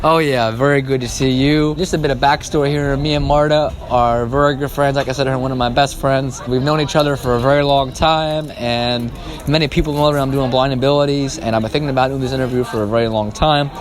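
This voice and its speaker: A man's voice: medium pitch, fairly even intonation, brisk. A teenage man conducting a conversational interview as the interviewer. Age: teens.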